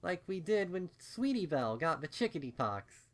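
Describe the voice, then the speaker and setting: A warm pegasus mother pony voiced by a man in a storybook reading. The voice is lifted higher and lilts with a cooing, soothing, motherly manner. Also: very animated, normal speed. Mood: reassuring. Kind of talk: storybook character voice.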